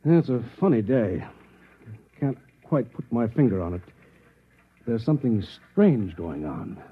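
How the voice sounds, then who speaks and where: An actor with an American accent playing an uneasy, puzzled married accountant in an old-time radio drama, speaking in a husky, hesitant way. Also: male voice, medium pitch, big pitch swings, unhurried. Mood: brooding. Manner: hesitant, brooding, questioning. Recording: muffled phone-like old radio recording.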